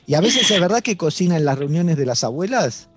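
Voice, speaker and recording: A man's voice: on the high side, big pitch swings, brisk, no echo. A man hosting a radio interview, coaxing his guest with friendly questions. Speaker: radio host.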